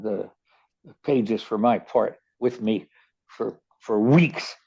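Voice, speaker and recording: A man's voice: mid-range voice, dark tone, highs cut off. An older man with a Baltimore accent, hosting a radio show and telling stories in a rambling, casual way, often stumbling and repeating words. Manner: rambling, many restarts and stammered repeats. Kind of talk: casual storytelling chat.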